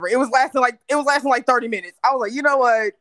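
A woman's voice: high voice, rapid-fire, a bit bright, no room sound, clean studio sound. A woman from North Carolina telling a candid story in a casual chat with friends, laughing often. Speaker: podcast host.

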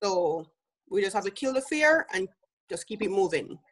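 A woman's voice: mid-range voice, sing-song, normal speed, fairly bright tone, slightly rough. Jamaican woman business coach talking. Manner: earnest, laughs easily, many fillers. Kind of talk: interview guest.

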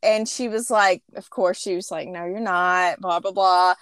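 A woman's voice: on the low side, steady tone, a little slow, a bit bright, clear, resonant tone, no echo. Woman in her 30s rambling thru a story on a podcast, dry close sound. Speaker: podcast host. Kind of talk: casual chat.

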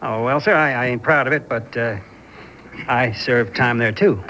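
An actor playing a cocky American ex-convict drifter with a folksy, insinuating manner, in a radio drama. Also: male voice, medium pitch, moderate pace, sharp tone, a little hiss or hum, natural voice. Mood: ingratiating.

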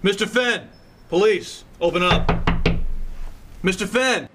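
Brusque, higher-voiced male police detective. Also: lively intonation, very noisy. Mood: demanding. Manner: brisk, authoritative. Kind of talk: audio drama acting.

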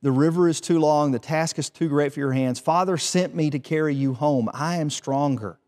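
A man voicing a patient, caring eldest brother in a parable, gentle and firm. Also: on the high side, lightly acted. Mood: reassuring. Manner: gentle, measured, soft-spoken. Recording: long hall reverb.

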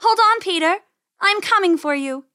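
A woman voicing a bold, excitable naughty little girl rabbit in a children's storybook. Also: very high voice, measured pace, no echo, clean studio sound, pitched above the performer's own voice, heavily acted. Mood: urgent.

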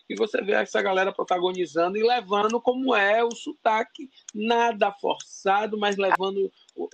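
A man from Bahia with a Bahian accent, speaking passionately as an interview guest, often tagging sentences with questions and restarting his phrases. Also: fairly high pitch. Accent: Bahian Brazilian Portuguese. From Bahia, Brazil. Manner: passionate, emphatic, frequent "né" tags and restarts. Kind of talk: conversational interview.

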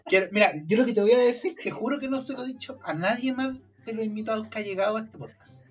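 A man's voice: high-pitched, quick pace, slightly rough. A Chilean man co-hosting a podcast, teasing the guest in playful banter and laughing often. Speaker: podcast co-host.

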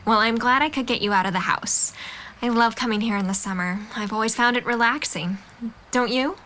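A woman's voice: fairly high pitch, fairly quick, bright, forceful tone, a little hiss or hum, low-quality recording. A woman playing a friendly, teasing young woman in an audio drama, with a playful, curious way of talking.

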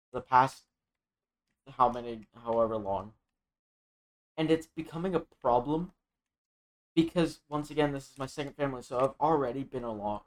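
A man's voice: mid-range voice, rough recording. Young man chatting casually on a podcast. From Venezuelan family. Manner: relaxed storytelling with fillers. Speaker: podcast co-host. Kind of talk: casual chat.

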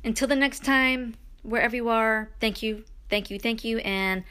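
A woman's voice: a little high, normal speed, bright, forceful tone, clean tone. A woman from Brooklyn hosting her own podcast, talking alone in a chatty, rambling way with many ums and restarts. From Brooklyn, New York. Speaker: podcast host and author. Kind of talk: solo podcast monologue.

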